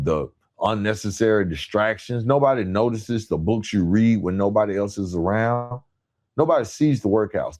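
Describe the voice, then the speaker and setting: Low, mellow-voiced man giving a motivational talk. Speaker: podcast host. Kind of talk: motivational monologue.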